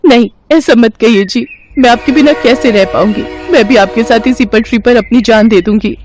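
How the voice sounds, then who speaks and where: A woman's voice: on the high side, moderate pace, over music, pitched above the performer's own voice, lightly acted. A woman voicing a poor, devoted, polite young wife and mother-to-be in a moral story, with an earnest, pleading manner. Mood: desperate, pleading. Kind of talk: animated moral-story dialogue.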